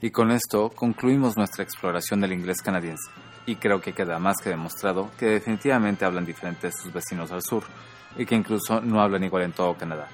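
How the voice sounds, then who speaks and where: A man hosting a podcast who explains things in a measured, scripted narration. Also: low-pitched, faint music behind. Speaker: podcast host.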